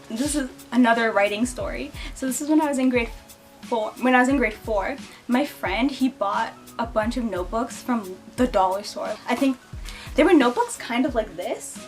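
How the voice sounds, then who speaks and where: A young woman telling childhood stories and facts about herself to camera in a chatty, giggly way, putting on playful voices when she quotes people. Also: fairly high pitch. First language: English. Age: young adult. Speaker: beauty and lifestyle vlogger. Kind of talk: casual storytelling to camera.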